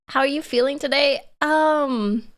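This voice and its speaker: A young woman streamer chatting casually and playfully, giggling and teasing herself, her voice hoarse and stuffy from a cold. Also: high-pitched. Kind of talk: casual live-stream chat.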